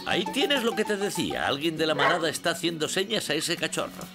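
A man's voice: fairly high pitch, sing-song, fast. A man voicing a wise, kindly gnome uncle who explains things reassuringly in a cartoon dub. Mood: urgent. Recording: loud music behind the voice.

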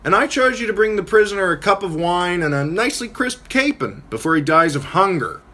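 A man's voice: high-pitched, sing-song, fairly quick, pushed and bright, pitched above the performer's own voice, full character voice. A man voicing a witty, mocking dwarf lord in an audiobook reading, with an arch, theatrical manner.

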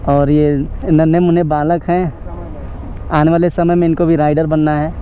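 A man's voice: a little high, level tone, normal speed. A man narrating a casual vlog from a motorbike, talking directly to his viewers. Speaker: motovlogger, host. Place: outdoors on city streets, on a moving motorbike. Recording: thin phone-like sound, loud motorbike and street noise.

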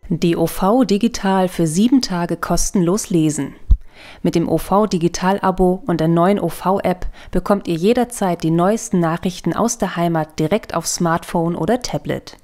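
A woman's voice: fairly low pitch, normal speed, a little mellow. A woman reading a scripted promotional voice-over. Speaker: advertising voice-over. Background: music.

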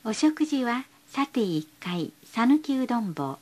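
A woman's voice: on the high side, big pitch swings, a little slow. A woman reading scripted bus stop announcements in a polite, formal, carefully enunciated way.

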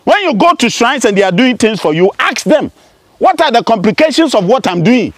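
A man's voice: very high-pitched, very animated, fairly quick, no room sound, studio quality. A man in his forties from Ghana, a spiritualist, speaking outdoors to a camera in a declamatory, accusing address, often tossing in "huh?". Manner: declamatory, rising and falling sharply, repeating "huh?". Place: outdoors among bushes.

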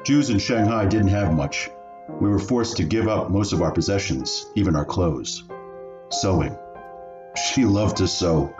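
A man acts an elderly refugee looking back on his mother in a radio play. His voice is very low and he tells his memories in a measured way. The sound is slightly dull, with music behind him. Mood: fond, reflective.

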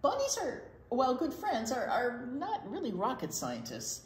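Expressive older American woman reading to children. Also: fairly high pitch, unhurried. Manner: expressive, explains things simply for children. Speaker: children's storytime reader at a library. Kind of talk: read-aloud picture book storytelling. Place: library children's room, indoors. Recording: roomy, echoey indoor sound.

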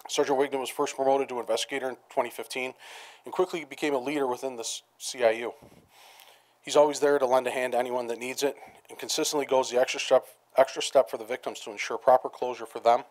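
A man reads a prepared commendation briskly from a podium in a meeting room. His voice sits at a middle pitch, and he stumbles and restarts now and then. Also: steady tone. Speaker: police official reading a commendation. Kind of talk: formal prepared reading. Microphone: podium microphone.

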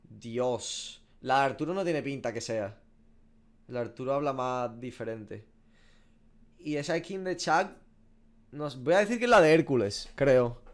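Male streamer, twenties, Spanish accent from Spain, informal sweary game reactions, average pitch. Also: animated, measured pace. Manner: informal, slangy and sweary, thinking aloud. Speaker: gaming streamer. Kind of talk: casual reaction commentary. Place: small home room.

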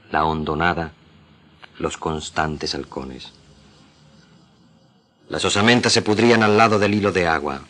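A man with an Argentine accent giving a solemn, deliberate, declamatory literary reading as a radio actor. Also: deep voice, bright, forceful tone.

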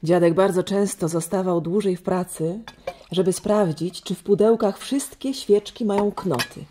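A woman's voice: medium pitch, normal speed, warm tone, slight background noise, close to an everyday voice. An actress playing an easygoing, lightly amused mother and grown-up daughter in a children's radio play.

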